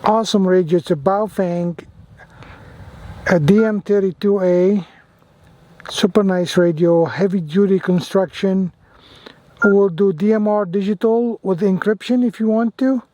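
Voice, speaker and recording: A man with a high-pitched voice chats casually to his viewers as a radio hobbyist. He is enthusiastic about his gear and tends to repeat his phrases as he demonstrates it. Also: a little slow, dark tone. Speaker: amateur radio vlogger. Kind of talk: casual product demo and radio operating.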